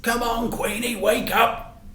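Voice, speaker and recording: A man's voice: extremely high pitch, steady tone, takes it slowly, sharp tone, voice pushed up, exaggerated voice. A man voicing an affectionate but firm dad in a children's storybook, matey and emphatic. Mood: coaxing, fond. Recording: echoey room.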